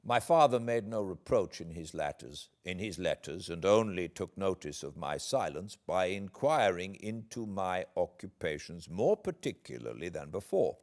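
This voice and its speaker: A British man, an audiobook narrator, reading classic literature aloud in a measured, expressive, dramatic way. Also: sits low. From Britain.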